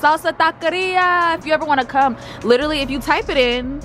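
A woman's voice: high voice, steady tone, brisk, fairly bright tone. A woman, chatting and laughing through a casual food vlog, filmed on a handheld camera in a car and a small restaurant. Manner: chatty and playful, laughs a lot. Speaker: vlogger. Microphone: handheld camera at arm's length. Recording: music and crowd chatter behind.

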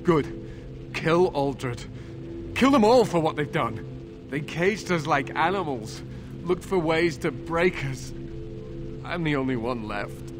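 A young knight worn down by captivity in a fantasy video game. His high voice drags slowly and haltingly, bitter, suspicious and pleading. Loud music sits behind him. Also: animated. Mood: shaken, bitter. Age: young adult. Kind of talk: dramatic video game voice acting.

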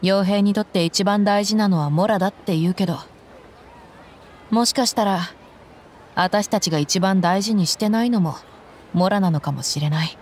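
A woman playing a tough, soft-hearted young mercenary in a game, with blunt, reflective speech. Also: medium pitch, normal speed, slight background noise, close to an everyday voice. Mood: wistful, reflective. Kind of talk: Japanese game voice acting.

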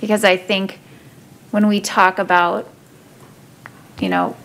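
A woman's voice: medium pitch, level tone, slow pace, fairly bright tone, slight background noise, low-quality recording. A woman on a school board, thinking aloud while she asks questions in a public meeting. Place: council chamber.

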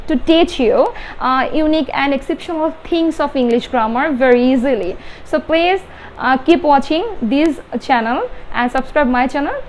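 A young woman teaching an English grammar lesson at a whiteboard, explaining haltingly and repeating herself. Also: high voice, slight background noise. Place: indoor room.

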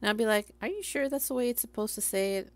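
A woman's voice: fairly high pitch, fast. A Puerto Rican woman with a Puerto Rican accent, chatting casually and rambling while she glues a craft at her home work table, often laughing at herself. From Puerto Rico. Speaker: crafting livestreamer.

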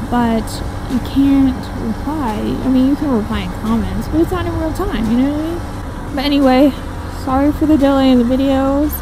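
A woman in her twenties chatting casually and rambling while riding a motorcycle, with a giggly, friendly manner. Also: high voice. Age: 20s. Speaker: motovlogger. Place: outdoors on a moving motorcycle. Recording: wind and engine noise.

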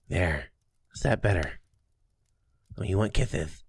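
A doting young boyfriend, played by a man, murmurs in an intimate ASMR roleplay. His voice is low and husky, close and dry. He is soft and affectionate, with a playful teasing streak. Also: dry recording, full character voice.

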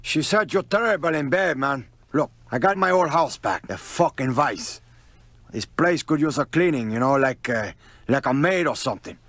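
An actor playing a brash, menacing Cuban gangster boss in a video game, with a cocky, profane swagger. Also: male voice, on the high side, animated, normal speed, fairly bright tone, no echo. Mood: cocky, businesslike. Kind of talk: video game cutscene acting.

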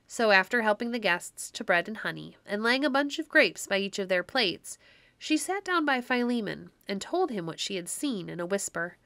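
A woman's voice: mid-range voice, sing-song, moderate pace, sharp tone, no echo. A woman narrator reading a classic tale aloud in a scripted storybook style.

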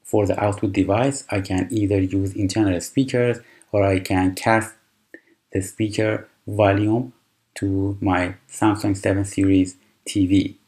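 A man whose first language is Persian, calmly walking through a computer tutorial with fillers and restarts. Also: on the low side, rough recording. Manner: unhurried, explanatory, with frequent fillers and restarts. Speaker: tutorial presenter. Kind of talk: screen-recorded software tutorial.

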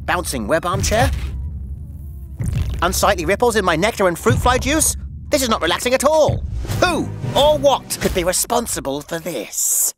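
A theatrical, wildly animated cartoon superhero bug. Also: male voice, sits high, normal speed, over music. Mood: smug then annoyed. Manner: theatrical, melodramatic. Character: pompous, excitable half-fly half-spider male comic superhero. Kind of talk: cartoon superhero acting with self-narration.

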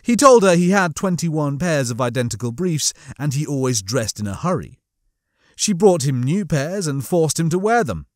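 A man reading comic fiction as a scripted audiobook, with a theatrical, expressive storytelling manner. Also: a little high, normal speed, no echo, clean studio sound. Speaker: audiobook narrator.

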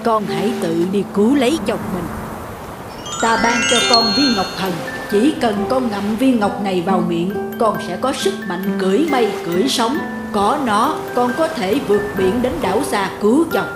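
An actor playing an ancient, benevolent male sea god in an animated folktale, speaking solemnly and instructively in measured phrases. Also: medium pitch, natural voice. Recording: echoing voice under loud music. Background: loud instrumental music.

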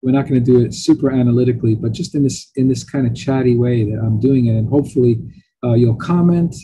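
A man's voice: medium pitch, fast, mellow, slight background noise, poor audio. A middle-aged man whose first language is Italian, giving a chatty lecture as a professor, thinking aloud with fillers and asides.

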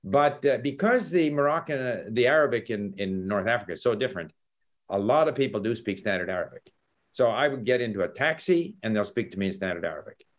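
Brief: older male host, lively storyteller over a webcam from a book-lined study. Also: medium pitch, quick pace. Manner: animated storytelling with gestures, chuckles. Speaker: language learning host. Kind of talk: casual video call chat. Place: home study with bookshelves. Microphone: laptop webcam video call.

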